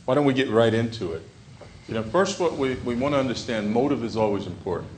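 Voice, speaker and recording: A man's voice: mid-range voice, lively intonation, quick pace, a little hiss or hum, rough recording. A man moderating a panel at a business conference, speaking fluently and conversationally as he frames the topics with examples. He stands before a seated audience in a hotel conference room.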